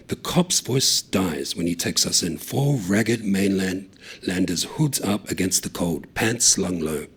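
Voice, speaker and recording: Male story reader, husky and breathy, lowish, steady narration. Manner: steady, low-key narration. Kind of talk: short story reading.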